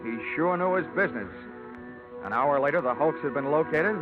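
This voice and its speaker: Casting: man as hard-boiled, wisecracking investigator, slightly high voice, dry delivery, old radio serial with dull sound. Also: expressive, brisk, close to an everyday voice. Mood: wry narrating. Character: wry, hard-boiled male insurance investigator. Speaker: lead actor and narrator. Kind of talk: old-time radio detective narration. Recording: dull vintage radio recording with music.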